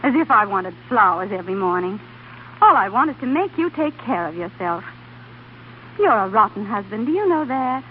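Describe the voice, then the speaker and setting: An actress playing a devoted, plucky, anxious young wife in an old-time radio drama, with a folksy, coaxing, fretful manner. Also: female voice, medium pitch, sing-song, normal speed, lightly acted. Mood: fond, chiding. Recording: tinny, noisy vintage radio recording.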